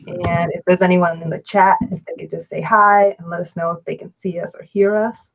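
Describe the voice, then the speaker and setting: A woman's voice: fairly low pitch, normal speed, gravelly, low-quality recording. Woman in her thirties hosting a live chat. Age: 30s. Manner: relaxed, hesitant with frequent ums. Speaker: host and adult advisor. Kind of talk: casual live-stream chat.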